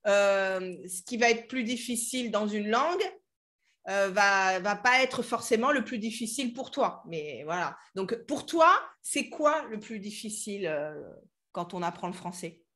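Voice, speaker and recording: A woman's voice: mid-range voice, expressive, measured pace, fairly bright tone. A woman hosting a casual interview, chatty and full of fillers like "hein" and "voilà". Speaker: host of a French learning club.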